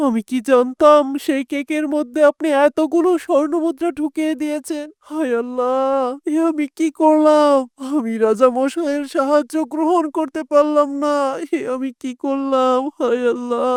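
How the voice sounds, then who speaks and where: A woman voicing a greedy, deceitful lame male beggar with a pleading manner in an animated moral story. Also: high voice, moderate pace, no echo, studio quality, lightly acted. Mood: shocked, remorseful.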